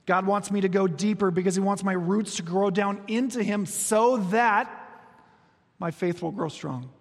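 A man in his forties preaching a sermon, telling stories with humor and moving between soft, slow passages and quick, lively ones. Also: high-pitched, gravelly. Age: 40s. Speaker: pastor. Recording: long reverb of a large hall.

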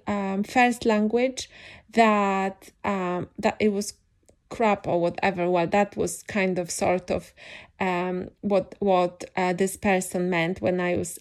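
A young woman talks through her thoughts in English, which is not her first language. She speaks slowly and unhurriedly, pausing and restarting often. Her pitch sits in a middle range. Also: darkish tone. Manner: thoughtful, hesitant, many restarts and fillers. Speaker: podcast host. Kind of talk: reflective personal monologue.

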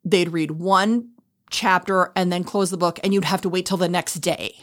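A woman's voice: on the low side, moderate pace. A middle-aged woman talking casually and reflectively, explaining things in an earnest, conversational way as a co-host. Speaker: podcast co-host, former elementary school teacher.

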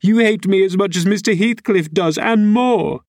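A man's voice: medium pitch, expressive, unhurried, slightly rough, no room sound, clean studio sound. A man voicing a willful young woman who pleads insistently with her cousin in an audiobook novel. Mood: tearful, hurt.

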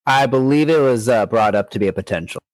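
A man co-hosts a podcast with a casual, conversational delivery. His voice sits at a medium pitch and is recorded dry, with very little room sound. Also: fast talker, no echo, rough recording. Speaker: podcast co-host. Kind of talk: casual discussion of an anime parody.

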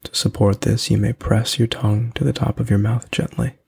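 Low, gravelly man guiding meditation. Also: steady tone, a little mellow. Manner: soothing, unhurried instruction with long pauses. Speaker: podcast host and meditation guide. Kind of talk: guided meditation.

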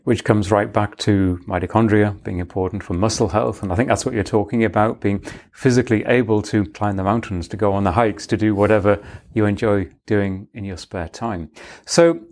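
A man's voice: fairly low pitch, fairly even intonation, normal speed, a little mellow. A man hosting an interview, summarizing points and asking engaged follow-up questions. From Europe.

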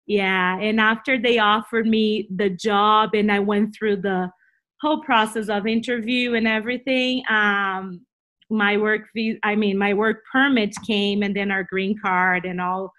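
A woman's voice: mid-range voice, fairly even intonation, unhurried, fairly bright tone. A woman from Brazil, a native Portuguese speaker with a Brazilian accent, telling personal stories expressively as an interview guest, often repeating herself as she goes. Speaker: interview guest, Bible teacher. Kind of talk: personal storytelling in a conversational interview.

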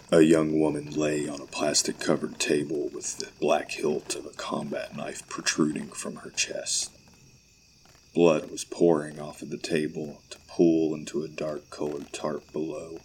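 A man's voice: extremely low pitch, monotone, measured pace, low-quality recording. A man reading scripted narration for a horror audio play, with night insects behind him. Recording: faint background noise.

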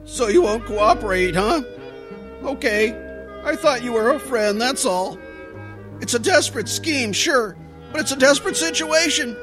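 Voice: mid-range voice, expressive, a little slow, over music, close to an everyday voice. An actor playing a scheming, slangy teenage schoolboy in an old-time radio comedy, theatrical and wheedling. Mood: mock-wounded.

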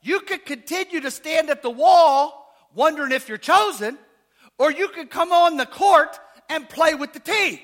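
A man preaching a sermon as pastor, casual and joking, asking the congregation questions, rising to shouts. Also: animated, moderate pace. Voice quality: high voice.

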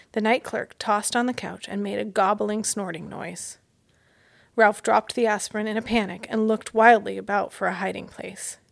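Woman reading a kids book out loud, bit of gravelly fry, expressive storyteller style. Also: medium pitch. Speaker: mystery guest reader, school staff. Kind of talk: children's audiobook read-aloud.

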